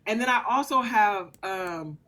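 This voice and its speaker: A woman's voice: mid-range voice, expressive, a little slow, a bit bright, a little hiss or hum. Talkative woman guest, earnest and rambling. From Louisiana family. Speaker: interview guest. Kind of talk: casual interview chat.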